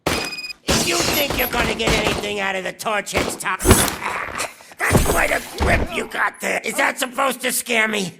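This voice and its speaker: High, manic gangster showman. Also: lively intonation, a little slow, vocal fry, exaggerated voice. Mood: defiant. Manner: theatrical, taunting, foul-mouthed, swooping. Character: manic, cocky male drug gang boss and showman. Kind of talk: video game cutscene acting. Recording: loud music behind.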